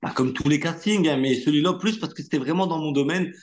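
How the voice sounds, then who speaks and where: A man whose first language is Arabic, an actor telling stories in a relaxed interview, chatty, slangy and full of fillers. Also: on the high side, fast, slight background noise. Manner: animated anecdote-telling with street slang and many fillers. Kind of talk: casual interview storytelling.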